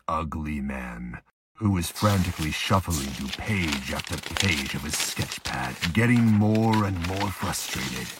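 A man with a husky voice narrating a dark audio drama slowly and ominously, with dramatic pauses. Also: very deep voice, very noisy.

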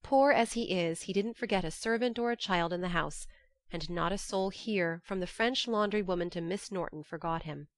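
A woman reading as a lively, affectionate young woman writing letters home, expressive and confiding, in an audiobook. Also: on the low side, normal speed, dry recording, close to an everyday voice. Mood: cheerful, chatty.

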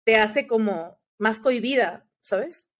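A young woman with a Mexican accent, telling a personal story as an interview guest in a chatty, animated way, often tagging lines with "you know?". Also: fairly high pitch, takes it slowly.

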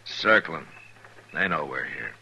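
Very deep, hard-edged frontier marshal. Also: male voice, expressive, slow pace, pushed and bright, full character voice. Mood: grim, terse. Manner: terse, commanding. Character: stern, steady frontier lawman, a weary male marshal. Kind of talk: 1950s radio western acting. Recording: noisy vintage radio drama recording.

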